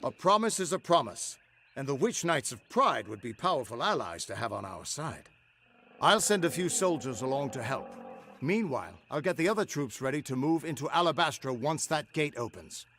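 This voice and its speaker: An actor playing a friendly, plain-spoken army officer, an eager ally in a fantasy video game. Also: male voice, medium pitch, sing-song, moderate pace, background noise. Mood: businesslike.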